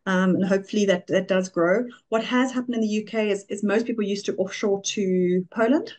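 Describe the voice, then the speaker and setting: A middle-aged South African woman answering interview questions, briskly listing examples. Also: medium pitch, fairly even intonation, a little mellow. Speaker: guest, offshoring business founder. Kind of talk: conversational interview.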